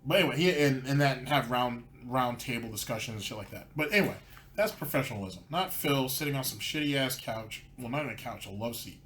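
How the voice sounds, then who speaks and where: A man's voice: mid-range voice, animated, fast, fairly bright tone. Sarcastic ranting man, faint hiss. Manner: sarcastic, mocking. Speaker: commentary channel host. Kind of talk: ranting commentary over clips.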